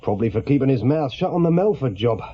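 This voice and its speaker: An actor playing an easygoing, fair-minded police sergeant with an English accent, reasoning mildly in a British radio drama. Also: male voice, mid-range voice, expressive, quick pace, husky, dull audio. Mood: measured.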